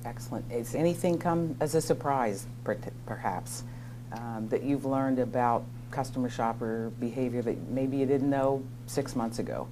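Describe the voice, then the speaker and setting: A middle-aged woman hosts the interview in a very low, dark voice, polished and to the point. Her questions are brief and neatly phrased. A faint hum sits under the studio sound. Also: normal speed, low-quality recording. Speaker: interview host. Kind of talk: scripted business interview hosting.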